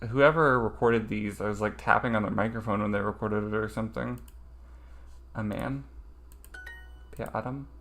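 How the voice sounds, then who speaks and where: A man's voice: lowish voice, moderate pace, creaky, long pauses, fairly bright tone, a little hiss or hum. A man streaming a casual study session, thinking aloud hesitantly with lots of ums.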